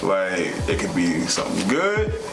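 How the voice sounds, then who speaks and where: A man's voice: sits low, wildly swooping pitch, a little slow, music in the background. A young man talks earnestly and casually to viewers as a vlog co-host, repeating himself at times. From United States. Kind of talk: casual sincere talk to viewers. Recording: echoey room with clear background noise.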